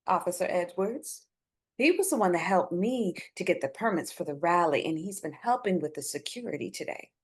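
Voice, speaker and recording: A woman's voice: on the low side, animated, a little fast, lightly acted. A woman playing an earnest, hopeful bereaved mother in a dramatic script reading, pleading and placating yet firm as she argues for forgiveness.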